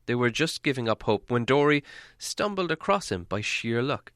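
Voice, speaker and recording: A man narrating a storybook from a script in a dramatic, expressive storytelling manner. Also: mid-range voice, normal speed, dry recording, studio quality. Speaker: audiobook narrator.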